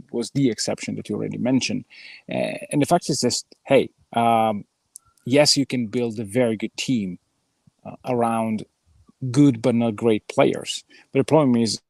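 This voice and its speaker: A man co-hosting a sports podcast over a remote call, giving casual basketball analysis in halting, measured, non-native English phrasing. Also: mid-range voice, gravelly, darkish tone, no room sound. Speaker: podcast co-host. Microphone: remote call over mobile connection.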